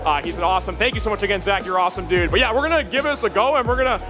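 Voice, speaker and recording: Young Midwestern male vlogger, high voice, excitable play-by-play; handheld camera in a noisy arcade with loud music. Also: racing. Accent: Midwestern American. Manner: excitable, cheering on friends. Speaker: vlogger host. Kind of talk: casual arcade vlog commentary. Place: indoor arcade bowling alley.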